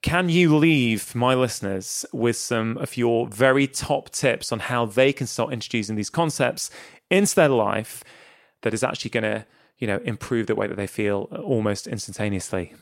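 A man's voice: medium pitch, moderate pace, gravelly, no room sound, studio quality. A man hosting a podcast interview, asking open questions in an earnest, appreciative way. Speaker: podcast host.

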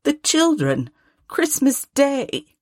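A woman voicing a mild, kindly father in a storybook, speaking in a gentle, earnest way. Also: sits high, big pitch swings, takes it slowly, no room sound, clean studio sound, pitched above the performer's own voice, exaggerated voice. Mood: mildly pleading.

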